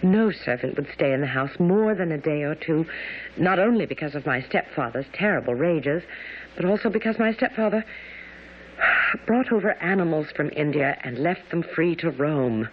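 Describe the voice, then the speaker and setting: A woman's voice: deep voice, animated, moderate pace. An actress playing a distressed, genteel young woman in a radio mystery drama. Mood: grave, anxious. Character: distressed, genteel young English woman recounting family tragedy. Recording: dull, noisy vintage radio recording.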